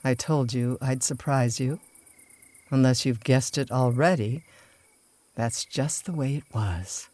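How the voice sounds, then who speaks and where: A woman acting a cold, commanding plotter in a radio drama, deliberate and theatrical. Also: rumbling low, mellow, no echo, exaggerated voice. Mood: coldly triumphant. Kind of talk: dramatic first-person radio drama narration.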